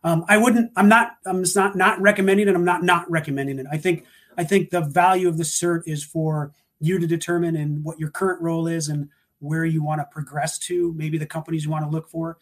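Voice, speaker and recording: A man talking through career advice in a relaxed, thoughtful way, with frequent restarts and fillers. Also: on the high side, brisk. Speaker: guest, security practitioner. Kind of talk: casual panel talk.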